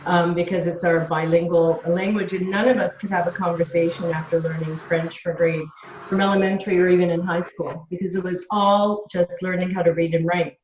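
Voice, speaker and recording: A woman's voice: on the low side, steady tone, brisk, darkish tone, slight background noise. A Canadian woman teacher chatting eagerly during an online seminar over a video call. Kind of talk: casual chat in an online seminar. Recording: echoey dull video call audio.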